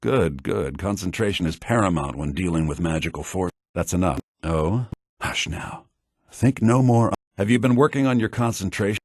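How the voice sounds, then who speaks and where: An actor playing a calm, stern magic mentor in a fantasy video game, measured and authoritative, in a hushed manner. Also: male voice, low-pitched, breathy edge, no room sound, clean studio sound, exaggerated voice. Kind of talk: video game character dialogue.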